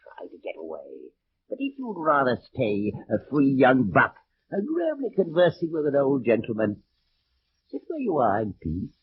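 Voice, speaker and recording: Sly old French lord, wildly swooping voice. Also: male voice, medium pitch, wildly swooping pitch, moderate pace. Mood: menacing. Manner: theatrical, chuckling, taunting, swooping delivery. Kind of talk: 1940s-50s radio drama acting. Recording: tinny, noisy old radio recording.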